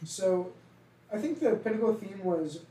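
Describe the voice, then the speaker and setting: A man's voice: high-pitched, animated, a little slow, warm tone. A young man with a heavy Boston accent, co-hosting a casual podcast chat and rambling loosely with some swearing. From Massachusetts. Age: young adult. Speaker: podcast co-host. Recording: roomy, distant from the mic, faint ambient noise.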